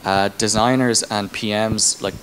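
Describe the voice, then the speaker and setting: Thirties male tech leader, lowish voice, informal Q&A delivery, reverberant event room with background noise. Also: fairly even intonation, a little slow, a bit bright. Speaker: tech company engineering leader being interviewed. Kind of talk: conference Q&A, informal explanation. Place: indoor conference stage. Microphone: handheld microphone. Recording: echoey hall, clear background room noise.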